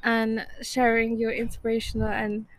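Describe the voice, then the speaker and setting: A young woman hosts a podcast, talking softly and a little hesitantly, with plenty of ums. Her voice sits fairly high. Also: completely flat delivery, normal speed, no echo, low-quality recording. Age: young adult. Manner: soft-spoken, hesitant with ums. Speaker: podcast host. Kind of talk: casual chat.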